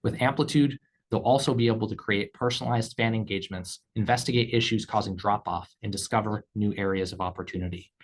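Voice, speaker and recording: A man speaking as a company executive, reading scripted earnings call remarks in a measured, formal way. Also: lowish voice, slight background noise. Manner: measured, formal read delivery.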